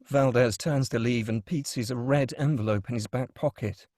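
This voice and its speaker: A man's voice: medium pitch, normal speed, darkish tone, no room sound, studio quality. A man narrating a screenplay, reading out scene headings and stage directions. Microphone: close, dry microphone.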